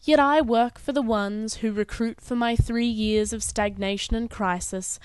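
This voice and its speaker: A young woman performs her poetry in a smooth, crisp voice at a medium pitch. The recording is dry and close, with no room to it. She phrases each line deliberately, in an earnest, dramatic and measured delivery. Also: no room sound. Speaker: poet. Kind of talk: spoken-word poetry recital.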